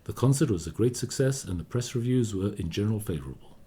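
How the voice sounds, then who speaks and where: A man playing a confiding, measured storyteller narrating history in a scripted radio play. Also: lowish voice, animated, mellow, close to an everyday voice. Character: discreetly gossiping male narrator recounting a composer's history. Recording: studio radio play with music behind. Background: music.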